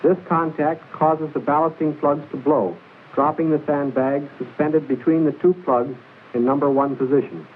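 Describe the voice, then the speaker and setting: A man narrates an old military training film in a formal, measured, precise instructional style, reading from a script. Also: a little high, fairly even intonation, phone-quality sound. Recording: hissy band-limited 1940s film soundtrack.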